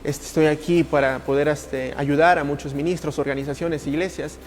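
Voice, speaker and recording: A man from Italy speaking as a ministry coach in a podcast interview, earnest and passionate, with halting, repetitive phrasing. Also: a little high, fairly even intonation, a little hiss or hum.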